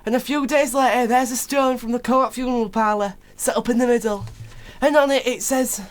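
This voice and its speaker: A woman's voice: fairly high pitch, fairly even intonation, fairly quick, lightly acted. A woman playing a gossipy, blunt but sympathetic working-class neighbour in a radio play, chatting colloquially. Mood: rueful.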